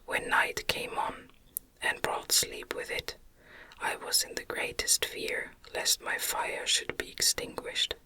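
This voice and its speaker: A narrator reading a gothic novel as a gentle, deliberate ASMR whisper.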